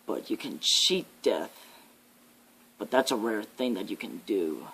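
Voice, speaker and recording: A man voicing a menacing devil in an ASMR horror roleplay, with an ominous, drawn-out, theatrical delivery. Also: fairly low pitch, lively intonation, vocal fry, bright, forceful tone, exaggerated voice, poor audio. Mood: brooding menace. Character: menacing devil, a living nightmare preaching fear and death.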